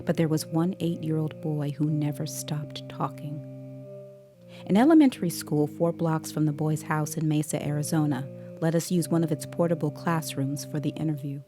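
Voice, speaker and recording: A woman playing a composed, observant child interviewer, narrating an audio drama in a measured, deliberate way. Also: sits low, over music, natural voice. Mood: grave, measured. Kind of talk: scripted dramatic first-person narration. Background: musical scoring.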